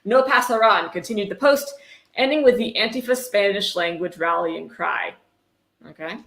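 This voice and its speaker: Woman, livestream host, bright edgy tone, sarcastic asides, blunt, casual read-aloud, echoey room. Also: mid-range voice, normal speed. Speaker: host reading a book aloud with commentary. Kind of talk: casual livestream dramatic reading with commentary. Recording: roomy, echoey sound.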